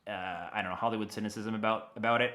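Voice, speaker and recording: An American man talking casually and enthusiastically as an interview guest, animated and given to rambling asides. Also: lowish voice, racing. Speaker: interview guest, audio dramatist.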